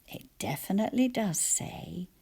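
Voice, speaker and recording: A woman with a soft, often whispered voice teaching spelling and sounding out letters one by one. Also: mid-range voice, animated, vocal fry, slightly rough. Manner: slow, soft-spoken, sounds out each letter.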